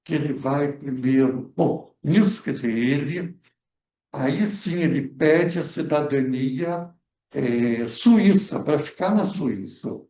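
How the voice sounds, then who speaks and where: A man's voice: a little high, slow pace, dark tone. A man, a guest researcher explaining history at a film club debate, hesitant with many fillers and restarts. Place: event room at a cultural center. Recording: echoey room, speaker far from mic.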